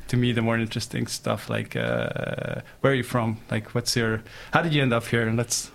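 A man hosting a morning radio interview, asking questions in a halting way with many fillers and restarts. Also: mid-range voice, little pitch movement, vocal fry. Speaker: radio host.

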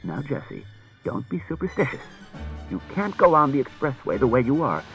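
A man's voice: mid-range voice, big pitch swings, fast, fairly bright tone, soft background music, pitched above the performer's own voice. A man voicing an anxious, harried detective and husband, speaking with urgent strain in an audiobook. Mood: impatient, soothing.

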